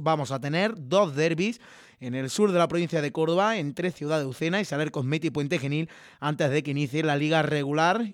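A man's voice: a little high, dry recording, clean studio sound. Man hosting sports radio. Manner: steady, informative delivery. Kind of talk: local sports radio presenting. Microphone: studio microphone.

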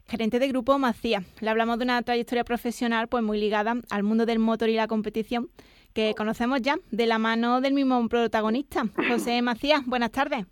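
A woman's voice: on the high side, little pitch movement, fairly quick, smooth, no room sound, clean studio sound. A woman hosting a radio interview, asking her guest questions in a polite, formal way. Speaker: radio host.

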